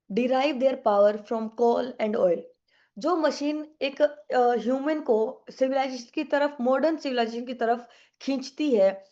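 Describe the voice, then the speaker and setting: High-voiced woman teacher with a sore throat. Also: a little mellow, muffled recording. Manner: chatty, coaxing students, mixes English into Hindi. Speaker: online exam-prep English teacher. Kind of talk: live online class, casual Hindi-English teaching.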